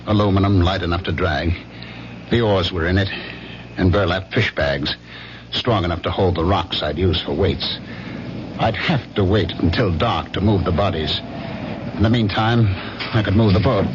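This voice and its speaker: An actor playing a guilty, secretive husband in a 1970s radio suspense drama, brooding and evasive. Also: male voice, low voice, moderate pace, lightly acted. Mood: brooding, tense. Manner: brooding when narrating, hesitant and evasive in conversation. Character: guilty, secretive husband narrating his own crime. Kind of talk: 1970s radio suspense drama, first-person narration and dialogue. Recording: noisy, muffled old 1970s radio recording.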